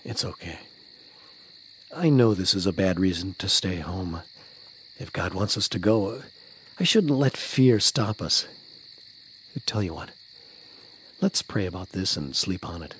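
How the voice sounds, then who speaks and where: A man's voice: deep voice, lively intonation, unhurried, pauses often, a bit bright, a little hiss or hum. An actor playing an anxious, devout father of young children in a family audio drama. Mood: resigned, sincere.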